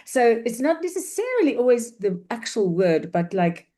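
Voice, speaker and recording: A woman's voice: a little high, big pitch swings, moderate pace. A woman whose first language is Afrikaans, moderating and graciously wrapping up a panel discussion over a video call. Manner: warm, gracious, thankful, with soft fillers.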